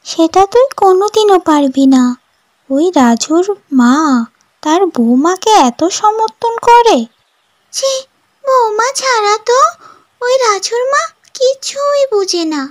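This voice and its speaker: A woman's voice: extremely high pitch, lively intonation, slow, full character voice. A woman playing a mocking, snide young village gossip in exaggerated cartoon dialogue. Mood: scornful.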